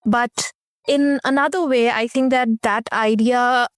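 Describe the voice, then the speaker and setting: A woman's voice: fairly high pitch, fairly even intonation, unhurried, no echo, clean studio sound. A woman from India with an Indian accent, a communication professor speaking as a guest in a casual interview, thoughtful and hesitant, with frequent fillers.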